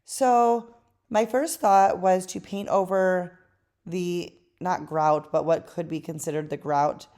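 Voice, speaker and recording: A woman talks through a craft tutorial in a tired, hoarse voice that sits a little low. Her narration is chatty and relaxed, with easy fillers as she goes. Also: slow pace. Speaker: craft channel host.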